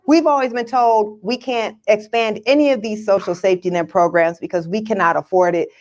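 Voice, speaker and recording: A woman giving explanatory commentary in conversation, articulate and emphatic, with measured pauses. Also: mid-range voice, darkish tone.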